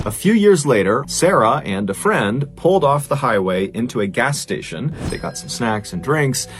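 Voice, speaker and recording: A man telling a strange true story as a host, in a conversational, emphatic and suspenseful narrating style. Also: fairly low pitch.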